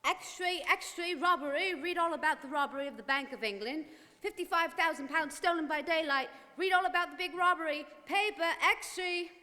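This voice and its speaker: A woman's voice: moderate pace, bright, forceful tone. A woman playing a street newspaper seller crying out headlines in a radio drama. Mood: urgent, hawking. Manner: high, repetitive hawking cry. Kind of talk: stage radio drama acting. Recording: reverberant hall.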